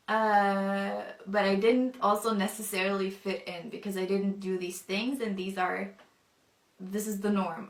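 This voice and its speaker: A young woman, not a native English speaker, telling her personal testimony thoughtfully, with many pauses and fillers. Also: medium pitch, echoey room, distant mic. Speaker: convert telling her story.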